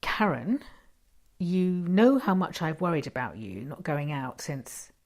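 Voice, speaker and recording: A woman storyteller voicing a caring, gentle and encouraging husband in a short story. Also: sits low, sing-song, a little slow, heavily acted. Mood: earnest.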